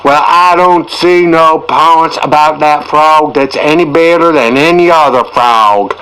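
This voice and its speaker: A man voicing a sly, skeptical stranger in an audiobook, with very deliberate, drawn-out rustic speech. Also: on the high side, sharp tone, smooth, pitched below the performer's own voice, poor audio. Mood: deliberate disdain. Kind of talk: storybook character voice in audiobook.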